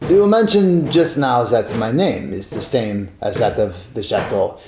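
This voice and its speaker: A man's voice: mid-range voice, lively intonation, measured pace, gravelly, warm tone, reverberant, slight background noise. A man doing a proud French vicomte. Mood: courteous. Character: proud impoverished French nobleman, young man. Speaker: Vicomte de Verlon. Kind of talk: amateur radio drama.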